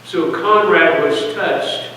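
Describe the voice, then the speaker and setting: Slow-reading man in a very echoey hall. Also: on the high side, sharp tone. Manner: deliberate, with pauses between short phrases. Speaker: reader at a church service. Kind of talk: dramatic poetry reading, storytelling. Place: large church hall, heard from a distance. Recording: distant, echoey church hall, faint noise.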